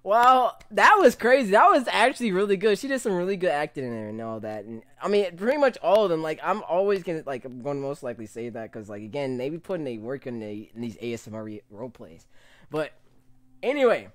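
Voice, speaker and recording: A young man reacting casually to a video in a small room at home, excitable and often repeating himself. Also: a little high, quick pace. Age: young adult. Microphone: studio microphone with pop filter.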